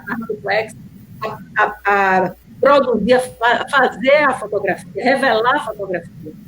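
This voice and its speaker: A middle-aged woman, speaking in a slightly high voice, warm and reminiscent, pausing and restarting often. A faint hum of background noise sits under her voice on a video call. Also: poor audio. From Bahia, Brazil. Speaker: guest, nurse and city councillor. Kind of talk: casual interview chat.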